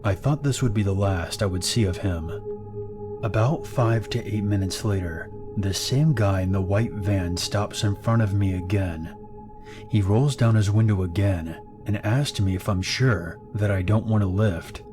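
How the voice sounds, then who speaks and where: A man narrates horror stories in a fairly low voice, keeping a calm, steady pace. Clear background music runs under his narration. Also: measured pace. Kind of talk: scripted horror story narration.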